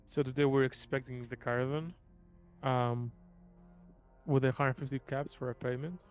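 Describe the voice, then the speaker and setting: A man's voice: mid-range voice, flat delivery, moderate pace, darkish tone, smooth, soft background music. A man playing a tabletop game, talking through plans in a casual, conversational way. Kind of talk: casual planning chat.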